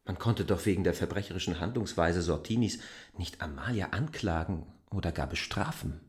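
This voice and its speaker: An actor playing an indignant, stubborn outsider in a German radio drama, arguing insistently. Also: male voice, low-pitched, brisk, darkish tone, lightly acted. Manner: argumentative, insistent. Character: indignant, stubborn male outsider defending his beloved.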